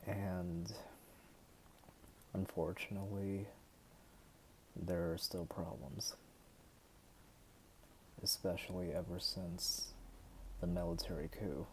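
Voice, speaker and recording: A young man in his twenties from the United States, talking hesitantly and softly to the camera at home late at night. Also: deep voice, flat delivery, a little hiss or hum, poor audio. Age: 20s. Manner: hesitant, halting and soft-spoken. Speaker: vlogger. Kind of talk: personal talk to camera.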